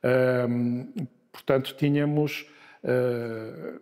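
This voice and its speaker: Unhurried Lisbon man in a reverberant hall. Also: medium pitch, steady tone, a little mellow. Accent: Lisbon Portuguese. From Portugal. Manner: unhurried, hesitant with many filler sounds and restarts. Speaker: interviewee. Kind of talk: conversational interview.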